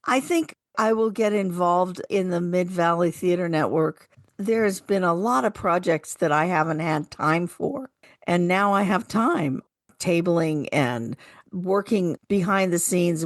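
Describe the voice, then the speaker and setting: A woman's voice: fairly low pitch, unhurried, no echo, clean studio sound. An older woman, a retired actress, talking casually as a radio co-host.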